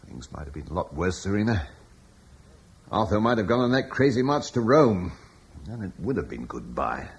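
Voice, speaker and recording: An actor playing a calm, commanding middle-aged husband and guard leader, warmly reassuring, in a radio drama. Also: male voice, lowish voice, normal speed, slightly rough, full character voice.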